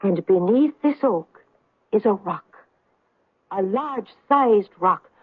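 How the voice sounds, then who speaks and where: An actress playing an earnest daughter who tells a wondrous tale in an old-time radio drama. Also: female voice, medium pitch, lively intonation, slow, breathy edge, no room sound, narrow, tinny audio. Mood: rapt, reverent.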